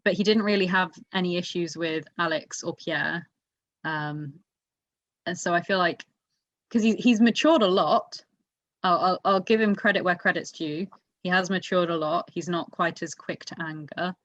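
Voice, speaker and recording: A woman's voice: lowish voice, moderate pace, a bit bright, no room sound, lo-fi sound. A woman chatting casually as a panellist on a motorsport show, giving emphatic, opinionated takes. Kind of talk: casual group discussion.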